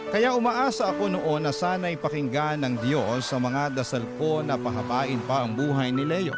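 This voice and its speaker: A man hosting a radio story show, reading a listener's letter in a solemn, sorrowful, dramatic way. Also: fairly high pitch. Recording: loud music under the voice.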